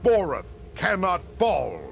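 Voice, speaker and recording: An actor playing a dutiful, stern alien warrior officer in a video game, delivering orders in a formal, martial way. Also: male voice, high-pitched, huge pitch swings, takes it slowly, creaky, fairly bright tone, slight background noise, exaggerated voice. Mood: martial, urgent. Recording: faint music behind the voice.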